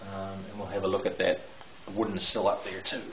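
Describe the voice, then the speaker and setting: A man's voice: low-pitched, completely flat delivery, brisk. A middle-aged man giving a video tour of a house under renovation, explaining construction details in a hesitant way with many fillers, filmed on a handheld camera in a bare basement. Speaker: renovation contractor. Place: bare brick basement, indoors. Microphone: handheld camera mic. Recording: echoey, dull lo-fi with faint hiss.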